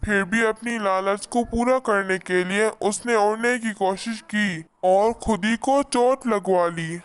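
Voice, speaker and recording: A man voicing a big, wise eagle in a children's cartoon, measured, authoritative and reassuring. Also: high-pitched, smooth. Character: big male eagle, wise helpful forest elder. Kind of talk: children's cartoon story dialogue.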